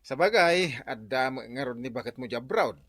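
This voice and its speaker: A man's voice: medium pitch, animated, normal speed, fairly bright tone, dry recording. A man playing an amiable, teasing drinking companion in a radio comedy drama.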